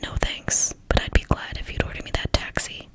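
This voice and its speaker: Woman whispering as a quiet polite young man. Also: normal speed, natural voice.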